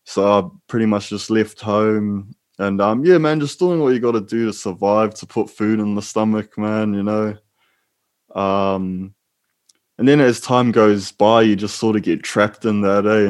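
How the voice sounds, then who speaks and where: A man's voice: on the low side, darkish tone. A man with a New Zealand accent, a former prisoner, talking as a guest in a casual, rambling way. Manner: laid-back, rambling, calls the host bro. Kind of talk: casual interview talk.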